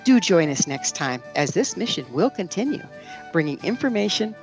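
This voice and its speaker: A woman's voice: fairly low pitch, big pitch swings, normal speed, faint music behind. A woman hosting a radio interview show, putting questions to a guest and reading announcements. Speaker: show host.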